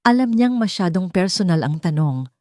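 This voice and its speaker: A woman's voice: on the low side, animated, moderate pace, dark tone, no echo, clean studio sound. A woman with a Filipino accent reading a scripted romance story as an audiobook narrator.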